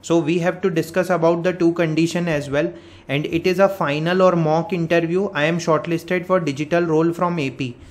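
A man's voice: on the high side, fairly even intonation, fairly quick. A man with an Indian accent giving an informal explanatory update as a career coach, repeating his points step by step. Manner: repetitive, step-by-step explaining with frequent check words. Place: voice-over on a screen recording.

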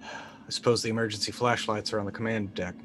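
A man's voice: fairly low pitch, level tone, fast talker, close to an everyday voice. A man voicing an uneasy, polite space colonist in a tabletop game, hesitant and wry. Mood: uncertain. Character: uneasy, polite male colonist waking in a wreck.

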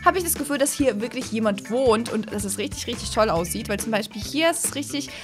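A young woman with a high voice gives casual, chatty commentary on a game. She sounds enthusiastic and speaks directly to her viewers, close to the microphone, with music playing behind her. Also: lively intonation, fast. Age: young adult. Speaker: host of gaming videos. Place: small indoor streaming room at home. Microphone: close desk microphone on arm.

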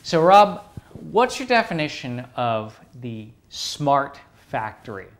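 A man's voice: medium pitch, sing-song, takes it slowly. Upbeat male interview host. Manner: upbeat, enthusiastic questioner. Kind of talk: interview hosting. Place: living room set.

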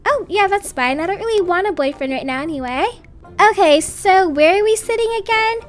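A very high-voiced nerdy new schoolgirl, played by a young woman, shy and wary. She speaks in hesitant teen slang in a game roleplay, with music behind. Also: expressive, brisk. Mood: hesitant, agreeable.

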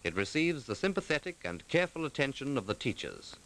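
A man delivering formal, measured scripted narration in the manner of a 1940s documentary, clearly enunciated. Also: mid-range voice, very animated, fairly bright tone, a little hiss or hum, low-quality recording. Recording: vintage 1940s film soundtrack.

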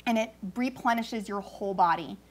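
A woman's voice: medium pitch, normal speed, a little hiss or hum. A woman in her early forties, co-hosting a casual talk show from a studio sofa, who explains things at length. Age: early 40s. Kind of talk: casual chat. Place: TV studio set, seated on sofa.

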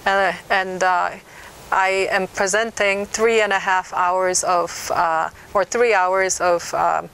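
An older woman, a reverend guest, calmly explaining a prayer practice in a studio interview, with frequent fillers. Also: on the low side, slow, a little hiss or hum, low-quality recording. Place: TV news studio set.

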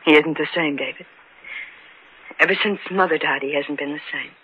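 A woman's voice: low voice, normal speed, husky. An actress playing a grieving, frightened young woman in an old-time radio drama, earnest and emotional. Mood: worried, sad. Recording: noisy, dull old-time radio recording.

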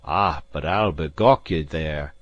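A man voicing a proud, bold exiled Highland gentleman, speaking forcefully in an audiobook. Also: slow, highs cut off. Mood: threatening. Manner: forceful, defiant declamation. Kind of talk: audiobook character voice.

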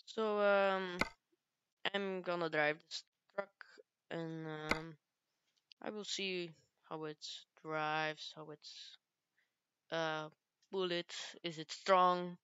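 Soft-spoken girl gaming. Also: fairly low pitch, steady tone, smooth, lo-fi sound. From Belgium. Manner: halting, searches for English words. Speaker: gaming youtuber. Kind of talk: casual gameplay commentary.

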